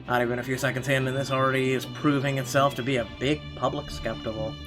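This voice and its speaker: A male let's player with a medium-pitched voice reads game narration aloud. He drops in wry, sardonic asides in a casual way, with soft game music underneath. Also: level tone, fast. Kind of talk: reading a visual novel aloud with casual commentary.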